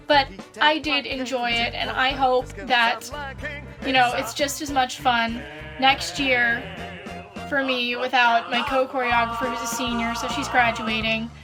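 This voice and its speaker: A woman's voice: a little high, little pitch movement, measured pace, music in the background. A young woman, a student actor and choreographer, talking in a chatty, candid way about her show. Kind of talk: casual recorded testimonial.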